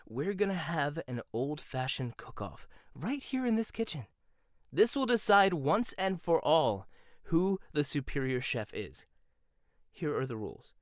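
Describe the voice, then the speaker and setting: A man's voice: fairly high pitch, no echo. Man playing a smug rival chef, close and dry. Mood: challenging. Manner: teasing, smug, laughs often. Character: cocky rival male chef, condescending and competitive. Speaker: voice actor. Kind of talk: boyfriend ASMR roleplay.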